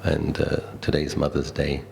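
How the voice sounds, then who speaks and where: A middle-aged Australian man, a Buddhist monk, giving a conversational, reflective dhamma talk built on personal stories, with thoughtful pauses. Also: rumbling low, monotone, vocal fry, a little mellow, a little hiss or hum.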